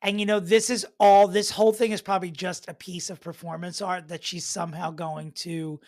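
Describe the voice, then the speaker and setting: A man co-hosting a comedy podcast, making wry, teasing jokes in a deadpan way. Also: high voice, fairly quick, no echo, clean studio sound. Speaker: podcast co-host. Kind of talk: casual comedic chat.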